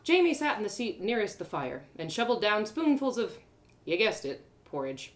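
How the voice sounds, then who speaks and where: Female storyteller, medium register, highly expressive melody, narrating fantasy in the first person. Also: moderate pace. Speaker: storyteller, host.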